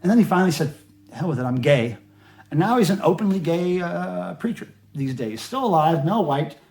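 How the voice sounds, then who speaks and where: Casual man with a slightly high voice. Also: animated, normal speed, gravelly, a little mellow. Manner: rambling, offhand, conversational. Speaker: record collector host. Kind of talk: casual show-and-tell vlog about records.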